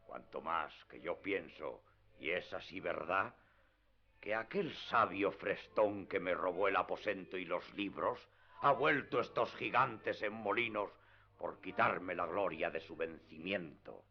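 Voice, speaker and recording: A very deep-voiced, proud knight-errant. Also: male voice, expressive, measured pace, gravelly, heavily acted. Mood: indignant, lofty. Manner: declamatory, lofty, archaic speech. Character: proud, deluded old Spanish knight-errant, grandiose and solemn. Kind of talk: radio theatre acting. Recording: dull lo-fi radio drama with music.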